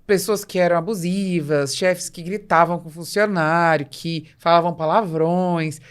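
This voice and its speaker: A woman's voice: lowish voice, fairly even intonation, a little slow. A Brazilian woman hosting a conversational interview, explaining things assertively and acting out quoted remarks. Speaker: podcast host.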